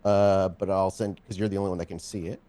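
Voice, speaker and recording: A man working as game master, narrating a tabletop game off the cuff and often pausing to search for words. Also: low voice, little pitch movement, mellow.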